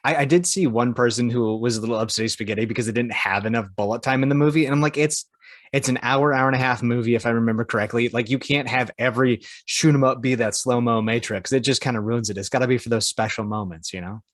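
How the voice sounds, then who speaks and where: A man talks casually as a podcast co-host. His voice has a medium pitch. The recording is dry, with hardly any room sound. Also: fast talker, dry recording. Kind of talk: casual chat.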